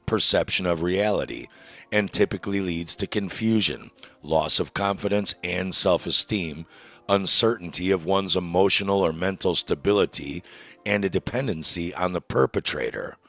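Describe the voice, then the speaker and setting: A man with a very low voice reads documentary narration in a wry, sardonic tone, dropping dry asides along the way. The sound is close and dry, with soft music underneath. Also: dry recording. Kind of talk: scripted narration.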